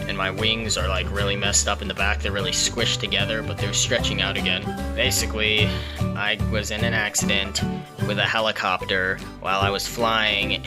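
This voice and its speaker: A man hosting his own podcast, rambling casually and joking in an in-character persona. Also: on the low side. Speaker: podcast host.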